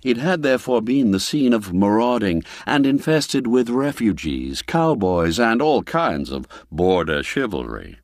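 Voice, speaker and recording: Slow, deep-voiced man narrating a story. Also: no echo, studio quality. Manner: measured, expressive storytelling. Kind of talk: scripted narration of a classic folk mystery tale.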